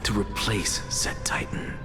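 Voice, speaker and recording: An actor playing a calm, proud theorist in a game, speaking in measured, formal, intellectual monologue. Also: male voice, lightly acted. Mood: musing, self-assured. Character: calm scholarly man, proud theorist obsessed with proving conjectures. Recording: long reverb, music behind.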